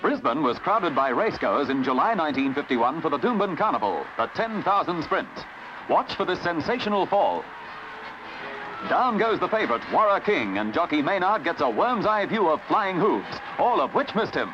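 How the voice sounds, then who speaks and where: An Australian man reading scripted 1950s newsreel narration in a brisk, colourful announcer's delivery, on a vintage soundtrack. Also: fairly high pitch, very animated, lo-fi sound, noisy background. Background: music.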